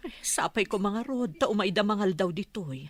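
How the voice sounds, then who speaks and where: Babai nga agakting iti madanagan a nataengan nga ina, medio paraw. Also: female voice, mid-range voice, lively intonation, moderate pace, close to an everyday voice. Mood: worried, wistful. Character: anxious older mother longing for her lost son. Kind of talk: Ilocano radio drama acting.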